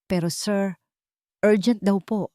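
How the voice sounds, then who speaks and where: A woman's voice: medium pitch, steady tone, slow, dark tone, no echo, studio quality, lightly acted. A woman voicing an anxious, deferential office secretary who speaks politely and urgently in an audiobook drama.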